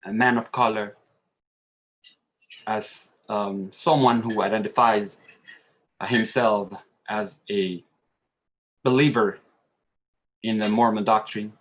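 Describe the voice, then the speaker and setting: Slow-speaking man. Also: lowish voice, fairly even intonation, poor audio. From Dominican Republic. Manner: halting, searching for words. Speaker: panelist. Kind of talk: earnest roundtable statement.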